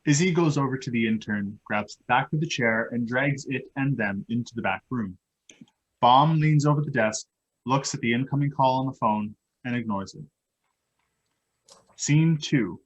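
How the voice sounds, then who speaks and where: A man's voice: medium pitch, moderate pace, muffled recording. A man giving scripted narration, reading the stage directions of a play.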